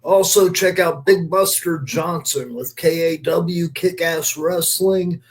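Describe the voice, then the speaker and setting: A man co-hosting a podcast, chatting casually and giving friendly, appreciative shout-outs. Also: on the high side, steady tone, slow pace. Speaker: podcast co-host.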